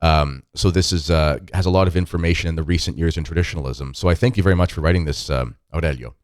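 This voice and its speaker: Deep-voiced guy hosting a podcast, chatty and rambly, dry close sound. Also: fast, darkish tone, no room sound. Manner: friendly, rambling, with many fillers. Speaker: podcast host. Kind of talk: conversational interview podcast.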